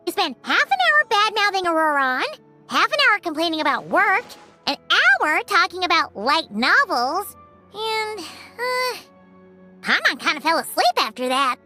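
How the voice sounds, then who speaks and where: A woman's voice: extremely high pitch, big pitch swings, unhurried, heavily acted. A woman voicing a small, childlike, cheeky fairy companion in a video game, perky and blunt. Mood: teasing. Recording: loud music behind the voice. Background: music.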